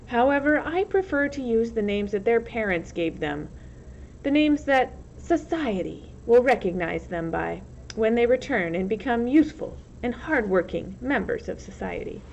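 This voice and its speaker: A woman's voice: on the high side, expressive, moderate pace, creaky. A woman voicing an earnest, patronizing, encouraging male camp counselor in a story reading. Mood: preachy. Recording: muffled lo-fi recording, loud background noise.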